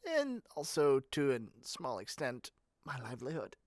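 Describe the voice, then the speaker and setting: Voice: mid-range voice, huge pitch swings, normal speed, a little mellow, no echo, studio quality. A performer playing an eager, shady, frazzled salesperson in a scripted audio roleplay, chatty and sardonic with theatrical rambling asides. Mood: sardonic, insistent. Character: eager, shady, frazzled yandere matchmaking salesperson, cynical and fast-talking. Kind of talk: scripted audio roleplay monologue to one listener.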